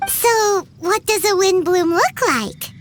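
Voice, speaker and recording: A woman voicing a small, childlike fairy companion in an anime game, squeaky, exaggerated, cheerful and persistent. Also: lively intonation, slow, noisy background, exaggerated voice. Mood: curious, puzzled. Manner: exaggerated, refers to herself in third person. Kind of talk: anime game dialogue acting.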